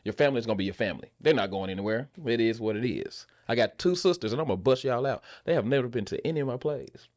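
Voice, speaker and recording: A man chatting casually as a podcast guest, telling stories in an animated way and repeating phrases for emphasis. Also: mid-range voice, fast talker, hardly any pauses, no room sound, clean studio sound. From Memphis, USA. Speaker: podcast guest, actor and stage playwright. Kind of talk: casual conversational interview talk.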